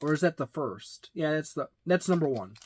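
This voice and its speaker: A middle-aged man giving a casual, halting, matter-of-fact unboxing and review, recorded in a small room. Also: a little high, lively intonation, darkish tone, husky. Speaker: tabletop game reviewer. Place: small indoor room with packed shelves.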